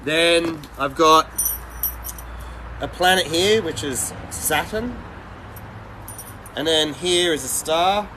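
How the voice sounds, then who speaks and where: Male livestreamer, middle-aged, higher voice, blunt and rambling casual delivery, clear traffic noise behind. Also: slow pace, long pauses, pushed and bright, rough recording. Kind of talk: casual live chat with viewers.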